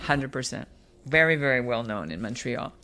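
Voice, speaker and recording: A man's voice: medium pitch, expressive, normal speed, sharp tone. A man co-hosting a casual news podcast, leading the conversation through the segments. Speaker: podcast co-host.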